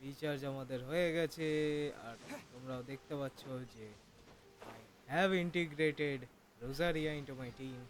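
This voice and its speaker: Very fast Bengali-accented male gamer. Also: medium pitch, a little hiss or hum. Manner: mixes languages, addresses viewers informally. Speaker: gaming video host. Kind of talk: casual gameplay commentary.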